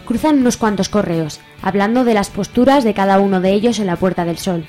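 A woman's voice: medium pitch, a little fast, a little mellow, faint music behind. Spanish woman narrating a radio serial.

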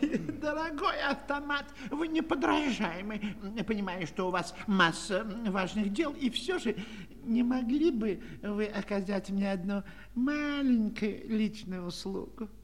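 Very high, fussy little elder number. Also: male voice, expressive, a little slow. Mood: admiring, coy. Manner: effusive, eager, repeats words nervously. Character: fussy, excitable, polite elder numeral, council member. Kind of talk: Soviet children's audio play acting. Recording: 1960s studio recording, long reverb.

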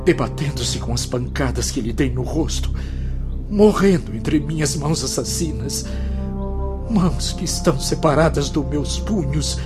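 An actor playing a guilt-ridden, anguished spirit of a murderer in a radio drama, with a Brazilian accent. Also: male voice, on the high side, unhurried, close to an everyday voice. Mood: tormented, desperate. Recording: music under the voice.

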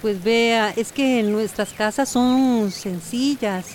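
A humble villager pressing her case in a radio soap. She is insistent and rather high-voiced, with faint noise behind her. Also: slow pace. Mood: pleading, insistent. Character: humble, insistent female villager petitioning officials. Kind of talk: radio soap opera acting.

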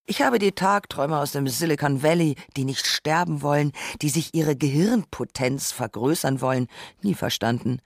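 A woman performing a scripted literary radio essay in a reflective, rhetorical manner with deliberate phrasing. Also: deep voice, creaky, no echo, clean studio sound.

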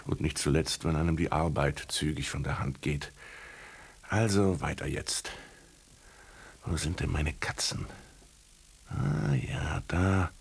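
An actor playing a contemplative writer narrating his own cat story in a radio drama, measured, musing and wry. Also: a bass voice, vocal fry, husky, heavily acted. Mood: contented. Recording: typewriter clicks and noise behind the voice.

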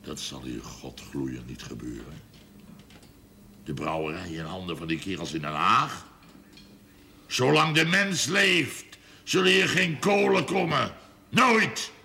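An actor playing a gruff, stubborn older brewery man in a television drama, talking bluntly and haltingly in mutters. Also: low-pitched, lively intonation, pushed and bright, a little hiss or hum, full character voice. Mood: defiant. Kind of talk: 1970s television drama acting.

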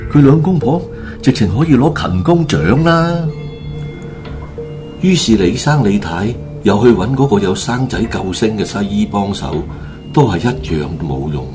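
A man narrates a comic radio drama in a fairly low voice with animated intonation. He has a Hong Kong Cantonese accent and tells the scripted tale over clear background music.

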